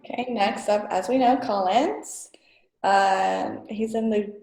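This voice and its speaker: A woman's voice: medium pitch, creaky, dark tone, reverberant, poor audio. A woman moderating a student panel on a video call, friendly and hesitant with fillers.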